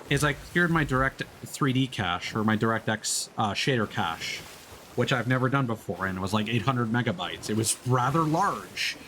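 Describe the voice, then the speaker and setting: A man's voice: medium pitch, a bit bright, slight background noise. A man streaming a game, talking casually and explaining things at length with frequent fillers. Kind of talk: casual gameplay commentary.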